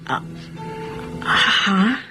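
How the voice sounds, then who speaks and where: A bride to be in a radio drama, gentle but firm, measured, soft music behind. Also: female voice. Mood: gently firm. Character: gentle, resolute bride-to-be forgiving her former love. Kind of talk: Filipino radio drama acting.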